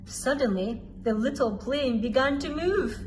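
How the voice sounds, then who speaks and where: A woman reading a children's story aloud expressively, deliberately drawing out the dramatic sound words. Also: high-pitched, expressive, noisy background. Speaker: school storyteller.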